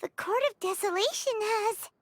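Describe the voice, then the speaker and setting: Tiny squeaky companion. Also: female voice, normal speed. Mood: eager, startled. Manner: squeaky, excitable. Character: tiny, eager, childlike companion creature. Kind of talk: cartoonish game voice acting.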